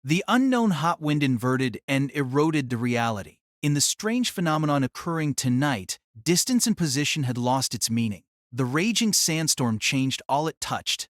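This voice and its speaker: Dramatic, animated male story narrator. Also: medium pitch, moderate pace, hardly any pauses, no echo, clean studio sound.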